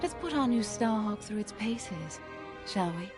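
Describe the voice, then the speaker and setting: Music plays clearly behind a woman performing a well-bred starfighter pilot for a video game. She is poised and earnest, choosing polite, articulate words at a medium pitch. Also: lively intonation, a little slow, close to an everyday voice. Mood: earnest, admiring. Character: refined, wealthy, earnest female rebel starfighter pilot. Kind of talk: video game character dialogue.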